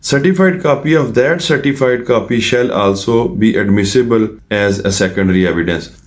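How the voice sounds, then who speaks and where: A man with a Pakistani accent lectures on law in a mid-range voice. His delivery is formal and methodical, built for explanation. When he quotes statutes in English, he slows to a careful, deliberate reading. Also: mid-range voice, a little mellow. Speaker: lawyer giving law lessons. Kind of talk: explanatory legal lecture to viewers.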